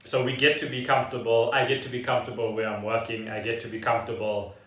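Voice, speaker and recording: A man co-hosting a casual podcast, speaking in halting, deliberate phrases. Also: mid-range voice, flat delivery, fairly bright tone. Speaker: podcast co-host. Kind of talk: casual unscripted chat. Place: echoey room at home. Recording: roomy echo, distant from mic.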